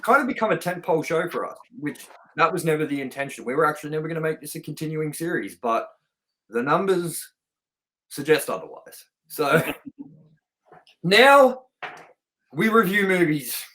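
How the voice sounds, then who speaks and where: A man's voice: a little high, expressive, normal speed, a bit bright, rough recording. A man co-hosting a movie review show in casual, unscripted chat, talking informally and straight to the audience. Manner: informal, chatty, addresses viewers directly.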